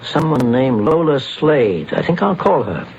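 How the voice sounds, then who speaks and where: An actor playing a measured, authoritative private investigator in a 1940s-style radio drama. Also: male voice, medium pitch, very animated, vocal fry, highs cut off. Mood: calm. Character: calm, shrewd male private investigator. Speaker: detective. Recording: hissy old radio broadcast.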